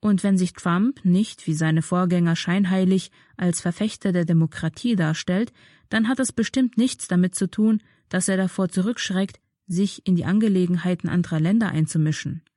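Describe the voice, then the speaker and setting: A woman presenter reading a scripted political commentary aloud in a measured, formal way. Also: fairly low pitch, warm tone, no echo, clean studio sound.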